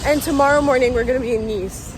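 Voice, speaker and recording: A young woman born in Morocco whose first language is French, chatting excitedly through a travel vlog with lots of fillers. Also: high voice, fast, noisy background. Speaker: travel vlogger. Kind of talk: casual vlog chat. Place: outdoors and indoors while traveling.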